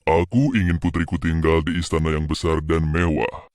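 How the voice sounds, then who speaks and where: A man acting a deep, demanding, proud sultan. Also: fairly even intonation, moderate pace, darkish tone, dry recording, studio quality, faint music behind. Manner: stately, commanding.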